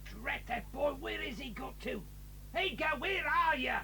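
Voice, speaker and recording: A man's voice: very high voice, expressive, a little slow, creaky, bright, forceful tone, distant mic, faint music behind, exaggerated voice. A man playing a blustering, threatening father who is a villain in a 1980s audio drama, recorded on old tape a little back from the mic. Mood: irritable. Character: gruff menacing father, Mr Stick, armed.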